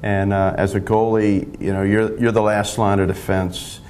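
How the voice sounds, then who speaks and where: An older man, a long-serving high school lacrosse coach, answering modestly and with restarts in a TV studio interview on a lapel mic. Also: little pitch movement, darkish tone, a little hiss or hum. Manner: modest, measured, stumbling restarts.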